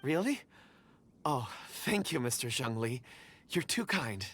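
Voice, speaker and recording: Anxious, apologetic game wanderer, mid-range pitch with lots of movement, music underneath. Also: male voice, very animated, unhurried, fairly bright tone, a little hiss or hum. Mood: grateful. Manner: hesitant, apologetic, polite. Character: polite, anxious, forgetful wanderer. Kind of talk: video game voice acting.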